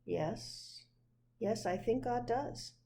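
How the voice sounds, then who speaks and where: Lady playing a patient parent in a kids book, really slow, gentle and reassuring. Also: fairly high pitch, vocal fry, a little hiss or hum, exaggerated voice. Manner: measured, reassuring. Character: gentle, patient parent answering a young child. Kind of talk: children's picture book read aloud.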